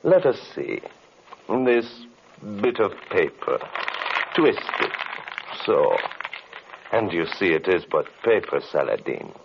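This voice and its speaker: An actor playing a menacing, mockingly courteous villain sorcerer in an old radio drama, with a sinister, taunting delivery. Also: male voice, sits low, sing-song, takes it slowly, vocal fry, long pauses, noisy background, heavily acted. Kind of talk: 1940s radio drama acting. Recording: dull old radio recording.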